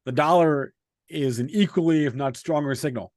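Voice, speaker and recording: A man hosting a casual market discussion, halting as he rephrases and comments. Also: a little high, darkish tone, no echo, clean studio sound. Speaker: host.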